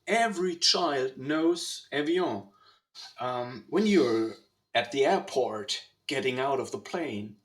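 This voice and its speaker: A man with a German accent hosting a casual online panel in a deliberate, halting way. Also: medium pitch. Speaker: host. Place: online video call.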